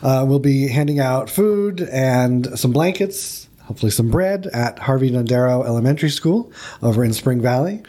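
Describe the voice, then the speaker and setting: A man's voice: medium pitch, lively intonation, moderate pace, dark tone. A man hosting a studio talk show, asking upbeat questions and affirming his guests with brief interjections.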